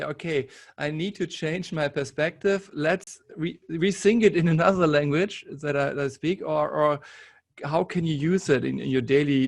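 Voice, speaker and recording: Interviewer, man, gravelly voice, halting delivery. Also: a little high. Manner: hesitant, repeating words while forming questions. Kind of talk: interview questions.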